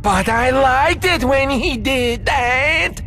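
A man voicing a childish, cowardly henchman with a shrill squeaky voice, shouting and whining in a video game. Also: lively intonation, slow, full character voice. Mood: bratty. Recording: game music behind.

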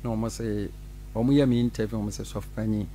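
A middle-aged man, a pastor from Ghana, telling his side in an animated radio studio interview. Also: lowish voice, brisk, slight background noise. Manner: animated, gestures while talking, laughs often. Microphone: studio mic on boom arm.

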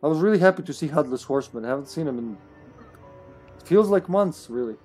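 Brisk man calling shots over headset. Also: a little high, expressive, a little mellow, breathy edge, soft background music. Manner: brisk, directing teammates, swears. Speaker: streamer. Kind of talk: casual gaming chat. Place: home room.

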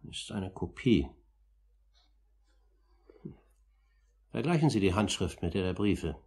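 A grave older gentleman with a low, husky voice. He speaks formally and deliberately, pressing each point with firm emphasis, in the manner of 1950s German radio drama. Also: exaggerated voice. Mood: firm, accusing. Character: composed, insistent older gentleman, grave and accusing uncle.

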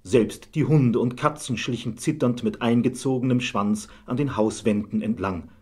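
A man's voice: medium pitch, moderate pace, a little mellow, breathy edge. A man narrating a scripted fairy tale as the storyteller.

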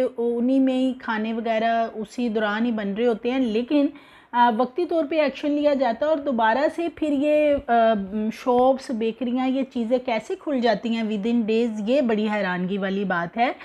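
A woman's voice: fairly high pitch, steady tone, unhurried, warm tone, lo-fi sound. A young woman with a Pakistani Urdu accent giving spoken news commentary from a living room, talking conversationally with many fillers and an indignant tone. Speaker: news presenter. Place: indoor living room with TV behind.

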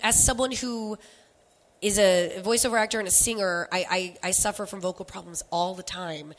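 A woman's voice: mid-range voice, fairly even intonation. A young woman, a voiceover actor and singer, talking in a chatty way with lots of laughs on a convention panel, into a handheld microphone. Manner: chatty, laughing, fast asides. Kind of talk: casual panel talk. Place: convention panel room, indoors.

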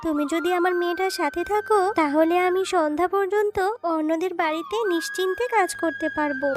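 A woman's voice: very high-pitched, fairly quick. A woman voicing a poor, hardworking mother fox in a children's cartoon, earnest, plaintive and grateful. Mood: grateful, hopeful. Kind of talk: children's fairy-tale cartoon dubbing. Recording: children's music behind the voice.

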